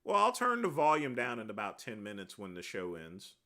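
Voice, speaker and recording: A deep-voiced man hosts a casual livestream and rambles through viewer questions one by one. His pitch moves a lot as he talks, and his tone is bright and forceful. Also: wildly swooping pitch, brisk. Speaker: livestream host answering chat.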